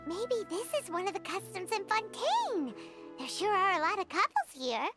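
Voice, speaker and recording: Tiny squeaky game fairy sidekick, super high and bouncy, loud music underneath. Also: female voice, very animated, normal speed. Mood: awed, curious. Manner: exclaims, speaks of self in third person. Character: small excitable childlike floating fairy companion, female. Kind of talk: video game cutscene voice acting.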